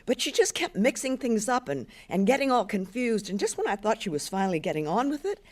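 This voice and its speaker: An anxious teenage girl pleads with her teacher, as a woman reader puts her on. Her voice sits high and jumps all over the place, tripping on restarts and repeated words. She sounds earnest and restless throughout. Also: very animated, a little fast, a little mellow.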